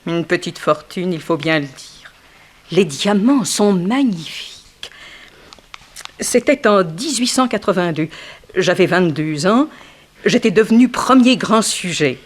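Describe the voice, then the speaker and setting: An actress playing a frank, wry young dancer in an early 1960s French radio mystery, theatrical and gossipy. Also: female voice, lowish voice, lively intonation, measured pace, pauses often. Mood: wistful, candid.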